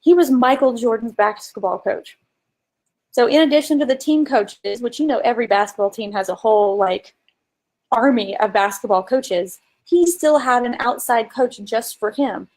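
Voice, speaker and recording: A woman's voice: fairly high pitch, normal speed, a little mellow. A woman co-hosting a casual business coaching chat, talking informally and emphatically and explaining with examples. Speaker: co-host and marketing coach.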